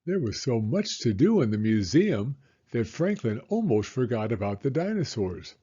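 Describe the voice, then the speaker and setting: A man reading a children's storybook aloud in an expressive, deliberate storytelling manner. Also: medium pitch, husky, lo-fi sound. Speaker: storybook reader. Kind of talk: children's read-aloud narration.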